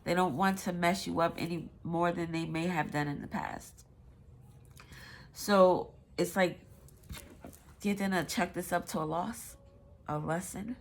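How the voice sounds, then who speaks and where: A woman's voice: fairly low pitch, steady tone, a little slow, long pauses. A woman with a stuffy nose giving a casual, rambling tarot reading, swearing now and then. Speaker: tarot reader.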